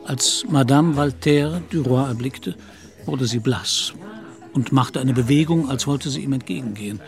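A man narrating a period novel in a radio play, as a measured literary storyteller. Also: mid-range voice, gravelly, darkish tone, faint music behind.

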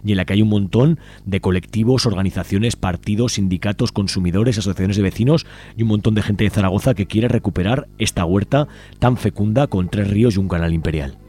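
A man with a low, mellow voice speaks Spanish with an accent from Spain. He presents community radio announcements informally, inviting listeners in directly. His delivery moves along very quickly and stays conversational.

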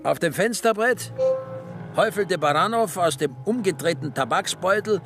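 A man's voice: high voice, lively intonation, moderate pace. A man narrating a scripted literary radio drama. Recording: music under the voice.

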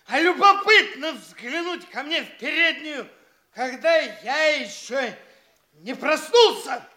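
A man's voice: very high voice, animated, slow, pushed and bright, heavily acted. An actor playing a boastful, foppish young man in a theatrical stage comedy, gushing and stammering over his own boasts. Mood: tipsy grandiose bragging.

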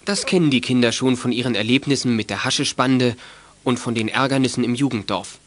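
A man serves as narrator of a children's audio drama. He reads scripted story passages in a voice of middle pitch. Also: fairly quick.

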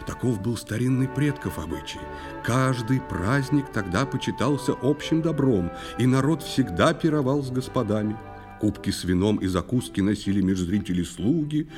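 A man's voice: mid-range voice, close to an everyday voice. A man narrating a children's audio play, declaiming a verse tale with expression.

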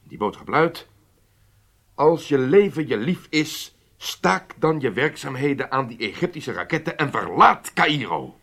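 An actor playing an obsessed, resolute Israeli intelligence chief, speaking gravely and emphatically in formal Dutch radio drama style. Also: male voice, mid-range voice, very animated, measured pace, a bit bright, exaggerated voice. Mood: grave, insistent.

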